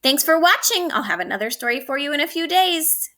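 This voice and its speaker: A woman teacher reading a storybook and giving a lesson to children, expressive and speaking straight to them. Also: high voice, a little fast. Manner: expressive, addressing children directly.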